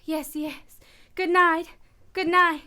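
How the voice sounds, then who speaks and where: A woman's voice: extremely high pitch, little pitch movement, takes it slowly, bright, forceful tone, exaggerated voice. A woman playing a mysterious, anxious married woman in a noir radio play, earnest and guarded. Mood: flustered.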